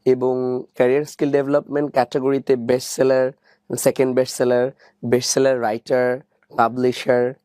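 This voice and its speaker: A man's voice: mid-range voice, level tone, normal speed, dark tone, dry recording, studio quality. A Bangladeshi man answering questions as an interview guest, an author and coach.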